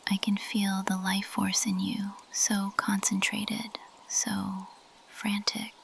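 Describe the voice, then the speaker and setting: A woman voicing a possessive, seductive carnivorous plant monster in an ASMR roleplay, in a breathy, teasing and menacing whisper close to the microphone. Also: medium pitch, monotone, slight background noise, rough recording. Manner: slow, teasing, menacing purr.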